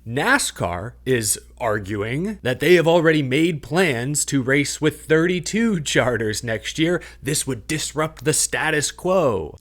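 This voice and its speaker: An American man delivers a scripted sports news recap as a show host. His pitch sits in the middle for a man. He explains points clearly and tells events with emphasis. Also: fairly bright tone.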